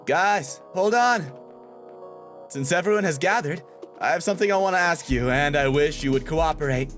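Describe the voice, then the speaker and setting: A man's voice: fairly high pitch, lively intonation, moderate pace, a bit bright, soft background music. A man voicing a bossy young female student leader in a video game, commanding.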